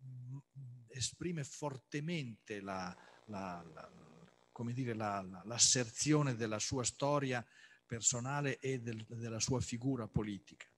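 A man's voice: medium pitch, takes it slowly, slight background noise. A man moderating a cultural talk off the cuff, often searching for his words. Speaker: event host, moderator. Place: salon with piano, indoors.

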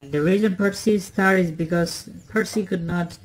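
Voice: medium pitch, normal speed, poor audio. An adult hosting a toy channel, giving casual commentary on a toy train race with frequent fillers and restarts.

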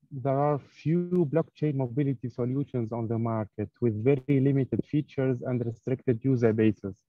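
Man pitching over a tinny video call. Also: dry recording. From Tunisia. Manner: slightly halting, many fillers, reading slides. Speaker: startup founder presenting a project. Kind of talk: online conference pitch. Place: hotel room. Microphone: tablet mic on a video call.

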